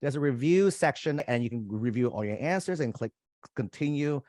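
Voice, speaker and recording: A man's voice: mid-range voice, expressive, normal speed, dark tone, dry recording, studio quality. A young adult man coaching students through an application form in a casual, conversational way, speaking directly to his viewers. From United States. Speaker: college admissions coach. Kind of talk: screen-share walkthrough of an application form.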